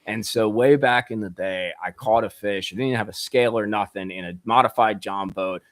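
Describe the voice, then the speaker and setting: A man, a guest angler on a podcast, talking in an excitable, loose way, full of bro and dude. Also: lowish voice, fairly quick, dry recording. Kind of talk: casual podcast chat.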